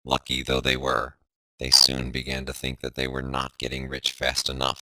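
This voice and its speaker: Extremely deep, piercingly bright robotic man. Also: monotone, normal speed, dry recording, poor audio. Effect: voice changer, pitched-down robot filter. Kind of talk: scripted storybook narration.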